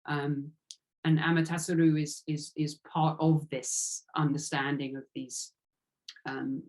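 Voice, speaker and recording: A woman's voice: deep voice, little pitch movement, unhurried, darkish tone, low-quality recording. A woman giving a reflective interview as an author, speaking earnestly and tentatively and circling around her phrases.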